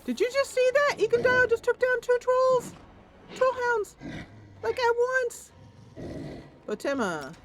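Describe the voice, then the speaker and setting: A woman's voice: very high-pitched, very animated, measured pace, long pauses, heavy background noise. A woman playing a fantasy roleplaying game, thinking aloud with enthusiasm and narrating the story dramatically. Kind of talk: gameplay commentary mixed with dramatic narration.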